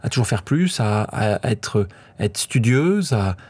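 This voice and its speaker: A man hosting a podcast, asking long, halting questions that search for words. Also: lowish voice, very animated, darkish tone, breathy edge, no room sound. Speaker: podcast host. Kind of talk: casual interview talk.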